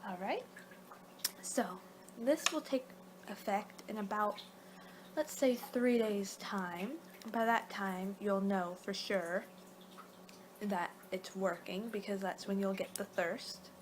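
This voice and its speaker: Woman doing rambling ASMR, noisy background. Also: mid-range voice. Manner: rambling, halting, losing her train of thought. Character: young-for-her-kind female vampire guiding a client, casual, a little exasperated. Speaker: ASMR performer. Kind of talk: soft-spoken ASMR roleplay, partly whispered.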